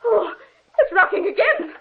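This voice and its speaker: A woman's voice: very high-pitched, animated, moderate pace. An actress playing a nervous, superstitious, easily frightened woman in an agitated, dramatic old radio horror style. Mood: alarmed. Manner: agitated, dramatic, breathless exclamations. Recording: scratchy, narrow-band vintage radio recording.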